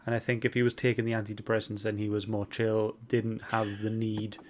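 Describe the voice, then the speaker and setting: A man's voice: on the low side, completely flat delivery, fairly quick. A man hosting a casual, chatty book club discussion, speaking informally and moving things along. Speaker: podcast host. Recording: background noise behind the voice.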